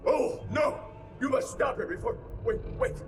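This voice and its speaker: A man playing a tormented captive in a video game, gasping out visions in halting, stammering cries. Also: extremely high pitch, lively intonation, music in the background. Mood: frantic. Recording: distant, noisy cutscene audio.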